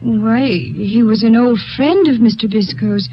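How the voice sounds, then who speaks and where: A woman's voice: fairly high pitch, measured pace, soft background music. An actress playing a young European émigré woman in a 1950s radio drama, speaking formally and carefully, with polite hesitations. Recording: dull old radio broadcast.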